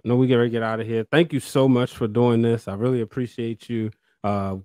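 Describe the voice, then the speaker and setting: A man's voice: medium pitch, steady tone, quick pace, darkish tone, smooth, dry recording. An American man hosting a podcast in a casual, informal interview style. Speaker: podcast host.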